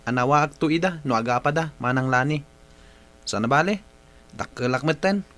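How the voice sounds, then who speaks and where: A man's voice: fairly high pitch, normal speed, a bit bright, close to an everyday voice. A man playing an anxious, plaintive young brother who defers to his big sister in a radio drama. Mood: weary, troubled. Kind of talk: Ilocano radio drama acting.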